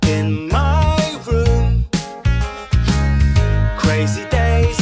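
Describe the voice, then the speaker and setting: High female singer over loud guitar music. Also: big pitch swings, extremely slow. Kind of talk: singing a rock opera song. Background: rock band music with guitar.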